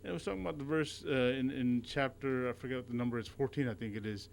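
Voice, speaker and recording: Earnest, halting man on a talk show. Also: mid-range voice, creaky, a little hiss or hum. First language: not Arabic. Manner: halting, with many restarts and repeated words. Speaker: radio show guest. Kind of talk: casual radio conversation.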